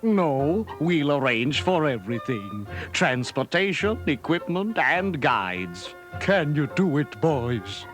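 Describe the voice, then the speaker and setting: Kindly professor: formal, fairly high voice, lively intonation, storybook cassette over music. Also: male voice, takes it slowly, hardly any pauses, full character voice. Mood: genial, businesslike. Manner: formal, genial, explanatory. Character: kindly, authoritative male museum professor. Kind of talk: cartoon storybook dialogue.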